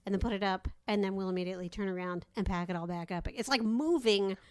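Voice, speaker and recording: A woman's voice: mid-range voice, fast talker, a little mellow, no echo. A woman co-hosting a podcast in casual comedic banter, wry, sweary and grumbling. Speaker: podcast co-host. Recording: clear background noise.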